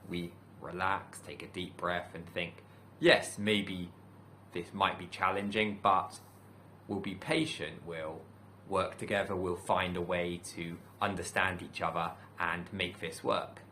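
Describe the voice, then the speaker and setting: A man speaks in a low voice that still sounds bright and clear. He is a teacher giving a calm, reflective talk, pacing his thoughts with measured pauses. A faint hiss sits under the recording. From United Kingdom. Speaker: teacher and channel host. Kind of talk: reflective solo talk to camera.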